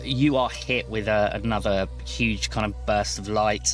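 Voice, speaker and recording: A man's voice: fairly low pitch, fairly even intonation, a little slow, soft background music. A man running a tabletop role-playing game as game master, narrating scenes as he improvises them. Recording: clear background noise.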